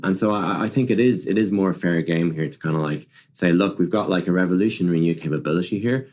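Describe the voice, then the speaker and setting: A man's voice: low-pitched, quick pace, a little mellow. A man speaking as an expert podcast guest, explaining conversationally and often repeating and rephrasing with plenty of "like" and "you know". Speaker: podcast guest, machine learning director. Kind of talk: conversational product and technology discussion.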